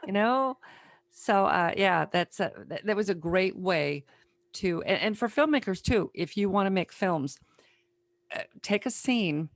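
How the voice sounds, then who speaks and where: A woman host chatting casually and giving practical advice in a halting, conversational way. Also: mid-range voice, animated, no echo, clean studio sound. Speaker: interview host, writer.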